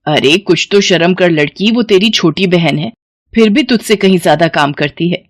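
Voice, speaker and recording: A woman's voice: on the low side, moderate pace, no room sound, clean studio sound, natural voice. Woman playing a weary, nagging middle-aged mother. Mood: exasperated scolding. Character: scolding, worn-out middle-aged village mother, respectful to elders.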